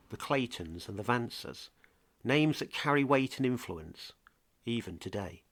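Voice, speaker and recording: A man presenting a scripted true crime mystery podcast as an earnest investigator, with a measured, portentous delivery. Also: on the low side, fairly bright tone, no echo.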